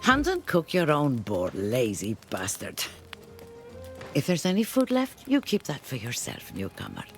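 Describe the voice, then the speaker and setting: Slow, grim camp survivor. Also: male voice, mid-range voice, wildly swooping pitch, a bit bright, soft background music, exaggerated voice. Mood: scornful. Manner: stern, blunt, deliberate. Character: grim, gruff, world-weary camp survivor, blunt and scolding. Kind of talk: theatrical video game character acting.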